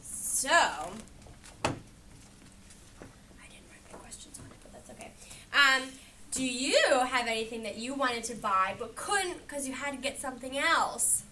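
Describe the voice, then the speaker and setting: Female read-aloud educator for young kids: high, bright voice, questioning and affirming, picked up from a distance with some background noise. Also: lively intonation, measured pace, pauses often. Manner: asks questions, echoes and affirms children's answers. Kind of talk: interactive picture-book read-aloud to children.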